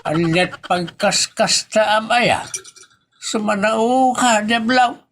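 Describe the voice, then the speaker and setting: A man with an Ilocano accent playing a gullible, defensive family man in a comedy audio drama, drawn-out and hesitant. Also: high-pitched, noisy background. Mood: indignant. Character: gullible, defensive family man who lends money freely.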